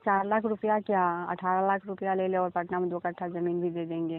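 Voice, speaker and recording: A woman's voice: on the low side, little pitch movement, fairly quick, clear, resonant tone. A woman from Bihar with a Bihari accent, defending herself insistently and colloquially in a recorded phone interview. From Bihar, India. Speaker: interviewee, orchestra stage dancer. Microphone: phone call. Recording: tinny phone-line call recording.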